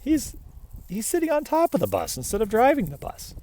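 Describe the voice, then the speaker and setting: A man reading a children's picture book aloud in an animated, playful way, chatting with and questioning the listener. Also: very high voice, brisk, a little hiss or hum. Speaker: picture-book reader, host.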